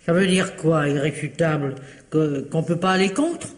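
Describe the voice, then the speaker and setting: An actress playing a blunt, loyal housekeeper, grumbling and colloquial, in 1950s French radio drama style. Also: female voice, sits low, normal speed, in a large hall, lightly acted. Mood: grumbling, curious.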